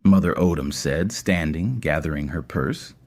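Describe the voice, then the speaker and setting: A man narrating a scripted audio story in a measured, literary storytelling manner. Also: very deep voice, a little mellow.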